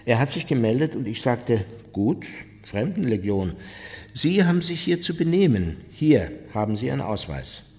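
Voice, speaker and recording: A man's voice: medium pitch, very animated, moderate pace, darkish tone. A man playing an unrepentant Nazi war criminal in a radio play, recounting his deeds in a blunt, self-justifying way. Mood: agitated, boastful. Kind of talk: German radio play, interview testimony. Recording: long reverberant hall.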